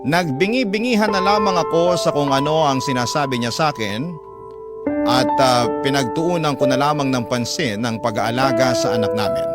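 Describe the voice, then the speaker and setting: A man narrating a listener's letter in the first person as a scripted radio drama story. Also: mid-range voice. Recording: loud piano music under voice. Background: loud piano music.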